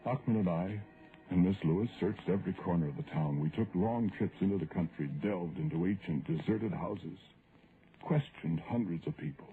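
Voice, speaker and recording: A man's voice: sits low, normal speed, close to an everyday voice. An actor playing a guilt-ridden, grieving man who narrates his ghost story in a solemn, literary radio drama style. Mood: anguished, brooding. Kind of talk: vintage radio horror drama acting. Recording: noisy, narrow-band vintage radio recording.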